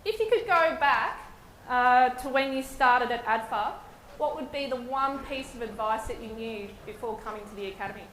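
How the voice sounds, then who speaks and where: A woman's voice: a little high, animated, moderate pace, a bit bright, a little hiss or hum. Australian woman, panel moderator, formal and polite; distant mic, big reverberant hall. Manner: polite, formal, frequent "uh" fillers.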